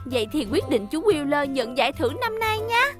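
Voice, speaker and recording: A woman voicing a cheerful child-like vehicle character in an animated children's dub. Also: high-pitched, brisk, music in the background. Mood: excited.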